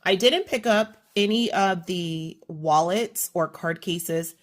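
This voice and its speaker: A woman with a slightly low voice talks through her purchases in a chatty, detailed way. She has a slight cold and sniffles now and then, and she often stops to correct herself. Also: takes it slowly. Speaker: haul vlogger. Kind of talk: casual product haul walkthrough.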